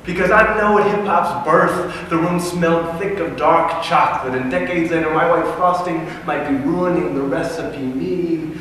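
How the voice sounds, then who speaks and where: Man poet in a booming hall. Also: moderate pace, few pauses, fairly bright tone, slight background noise. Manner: rhythmic, punchy delivery. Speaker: spoken word poet. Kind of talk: spoken word performance. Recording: echoey hall with long reverb.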